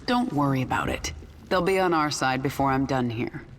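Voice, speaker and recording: Bossy menacing alien queen in a game, curt, lowish male-range pitch no squeak, bit of noise behind. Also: expressive, normal speed. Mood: cool, probing. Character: stern, commanding queen of an alien swarm, simmering with anger. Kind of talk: video game character acting.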